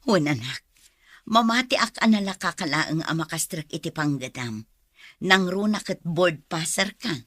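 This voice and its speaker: A woman's voice: fairly low pitch, expressive, moderate pace, fairly bright tone, no room sound, studio quality. An actress playing a tender, supportive middle-aged mother in a radio drama, with a northern Philippine accent. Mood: tender, pleased.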